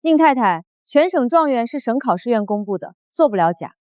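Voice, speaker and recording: A wealthy woman boss from a short drama. She is commanding and protective, with a slightly high voice and cool, unyielding authority. The sound is dry and close. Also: big pitch swings, brisk, darkish tone, no echo. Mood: stern, cold.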